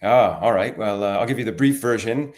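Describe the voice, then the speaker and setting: Low-voiced Canadian man telling his story. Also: fairly quick, darkish tone. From Toronto, Canada. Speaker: interview guest, wine expert. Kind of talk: relaxed conversational storytelling.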